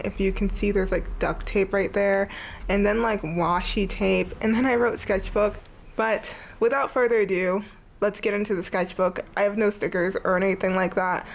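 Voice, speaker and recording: A woman's voice: mid-range voice, a little hiss or hum. A young woman with a stuffy, congested voice, chatting casually and rambling as she shows her sketchbook from her bed. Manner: chatty, rambling, says "like" often. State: congested, sniffly. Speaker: art vlogger. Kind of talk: casual sketchbook tour chat.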